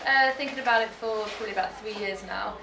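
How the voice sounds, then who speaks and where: A woman's voice: a little high, fast talker, vocal fry, noisy background. Chatty woman in an echoey cafe. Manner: chatty, lots of fillers and trailing phrases. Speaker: performance artist and cafe co-founder. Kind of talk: casual interview chat. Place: cafe venue indoors. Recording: echoey cafe room, a bit far, audible ambience.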